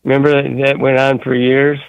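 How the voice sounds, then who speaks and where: A man calling in to a talk radio show, telling a long rambling story in a gruff way. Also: mid-range voice, completely flat delivery, a little fast, no room sound, rough recording. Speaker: caller.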